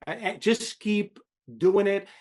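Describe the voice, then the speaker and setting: Middle-aged man from Syria, animation director type; candid and emphatic, full of repeats, voice sits a bit high. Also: level tone, slow, darkish tone. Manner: emphatic, repetitive restarts, swears. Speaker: animation director, podcast guest. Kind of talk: candid interview talk.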